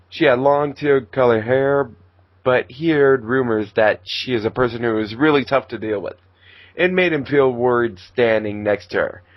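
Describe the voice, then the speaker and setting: A man's voice: mid-range voice, dry recording, dull audio. A man reading fan fiction aloud as narrator, in a casual amateur style.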